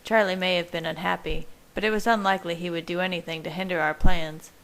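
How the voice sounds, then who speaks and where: A woman narrates a first-person story. Her voice sits a little low. She reads in a measured, steady way, like an amateur audiobook narrator working from a script. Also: gravelly, low-quality recording.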